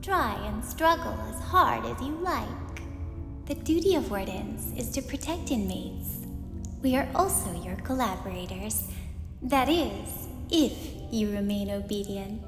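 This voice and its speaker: Stern little girl warden , voiced by a woman: high, slow, imperious delivery for an ASMR roleplay, music behind. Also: expressive. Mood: cold, commanding. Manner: imperious, deliberate, clipped commands. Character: stern, bossy young girl prison warden, curtly commanding. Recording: echoey hall reverb over clear music.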